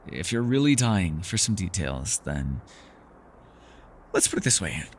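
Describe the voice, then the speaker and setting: A man plays a centuries-old elf recluse in an intimate fantasy audio roleplay. His voice is low and moves widely in pitch, with measured, courteous phrasing, small hesitations and a soft wry edge. There is noticeable ambient noise behind him. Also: very animated. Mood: reluctant, grateful. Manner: measured, formal phrasing, hesitant and softly teasing. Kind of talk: fantasy ASMR roleplay acting, intimate one-sided dialogue.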